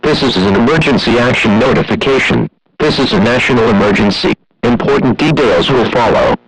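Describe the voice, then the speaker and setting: A man's voice: mid-range voice, a little fast, very noisy. A man reading a scripted emergency alert in a formal, impersonal manner.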